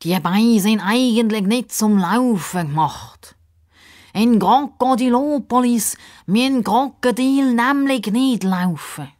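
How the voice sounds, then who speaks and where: A woman voicing a pompous, grumbly male crocodile who talks in a ponderous, drawn-out way in a children's story. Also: a little high, animated, a little mellow, voice pushed up. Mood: self-important. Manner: ponderous, drawn-out, self-important speech.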